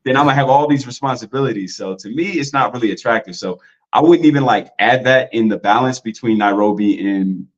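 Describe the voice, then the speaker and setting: A man's voice: mid-range voice, a little fast, lo-fi sound. A man in a casual podcast talk, loose and slangy, full of "like" and "you know". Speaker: podcast guest.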